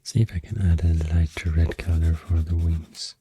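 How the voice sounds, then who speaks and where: A woman with a Swedish accent doing soft-spoken ASMR rambling, breathy and whispery, hesitant and unhurried, close to the microphone. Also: extremely low pitch, steady tone, slight background noise. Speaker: ASMR artist. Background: soft pencil sounds.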